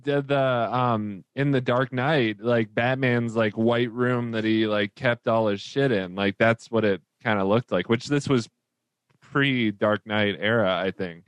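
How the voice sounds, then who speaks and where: A man's voice: mid-range voice, fairly even intonation, a little slow, clean tone, dry recording. A man co-hosting a casual movie podcast, laid-back and full of profane buddy slang. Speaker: podcast co-host. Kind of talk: casual movie discussion among friends.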